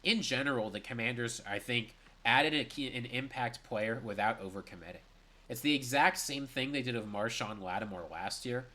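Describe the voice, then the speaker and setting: A man's voice: mid-range voice, pushed and bright. A young man with a stuffy, congested voice, giving a solo reaction and analysis of sports news. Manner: brisk and analytical, with emphatic stress.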